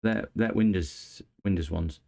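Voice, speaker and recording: Chatty low-voiced repair streamer. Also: male voice, unhurried, vocal fry, dark tone. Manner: chatty, chuckling, replies to viewers while working. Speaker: repair channel host. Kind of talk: casual live-stream chat while repairing.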